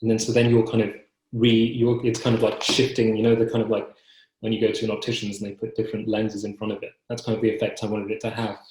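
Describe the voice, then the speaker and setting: Young guy poet being interviewed, lowish flat voice, keeps stopping and rewording, echoey video call. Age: young adult. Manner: hesitant, halting, self-correcting. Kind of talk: reflective interview talk. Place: book-lined room at home, indoors. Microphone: computer mic on video call. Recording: roomy, echoing video call.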